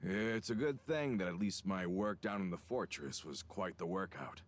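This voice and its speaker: An actor playing a weary, humble aging working man in a video game, measured and polite. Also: sits low, close to an everyday voice. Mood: nostalgic.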